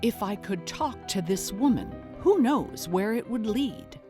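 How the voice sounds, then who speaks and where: A woman's voice: mid-range voice, animated, measured pace, music in the background, close to an everyday voice. A woman playing an earnest investigative podcast host, delivering scripted narration in a mock documentary audio drama.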